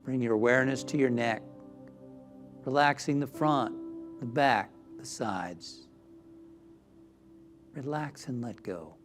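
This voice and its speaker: Slow-paced man guiding a meditation. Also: medium pitch, soft background music. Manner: gentle, soothing, drifting into near-whispers. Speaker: minister leading guided meditation. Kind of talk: guided meditation.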